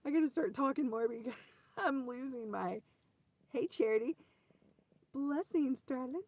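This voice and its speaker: A woman with a slightly high voice, hoarse and wearing thin, hosting a relaxed faith livestream for her viewers. She rambles, restarts her sentences often and leans on "you know.". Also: measured pace, no echo. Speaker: ministry host speaking to viewers. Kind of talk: casual faith talk on a livestream.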